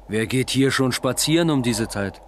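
Actor as sober police lieutenant, noisy ambience. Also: male voice, medium pitch, lightly acted. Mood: sceptical. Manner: matter-of-fact, terse. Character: sober, sceptical police lieutenant, the captain's colleague. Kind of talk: German radio crime drama acting. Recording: clear ambient noise.

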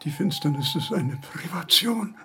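An actor playing a frail, senile old philosopher who talks in a halting, rambling way and repeats his words. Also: on the high side, expressive, a bit bright, a little hiss or hum. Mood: delirious. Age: older. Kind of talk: radio play acting.

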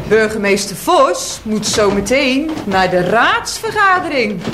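A woman playing a fretful, exclaiming mayor's wife in a Dutch radio play, anxious and pleading. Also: fairly high pitch. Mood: mocking. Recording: echoey room, clicky noisy radio recording.

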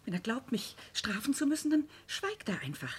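A woman's voice: fairly high pitch, very animated, moderate pace, slightly rough, heavily acted. A woman playing a long-suffering but loyal wife in a radio drama, earnest and plaintive. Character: devoted, long-suffering wife defending her bullying husband. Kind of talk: German radio drama acting.

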